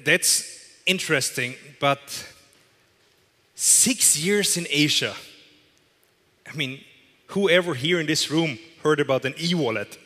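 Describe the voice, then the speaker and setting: Man, thirties, emphatic keynote, headset mic, bright tense voice, hall reverb. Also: on the high side, slow, long pauses. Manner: emphatic, repeats phrases for effect. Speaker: keynote presenter. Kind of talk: corporate keynote speech. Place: large event hall with audience.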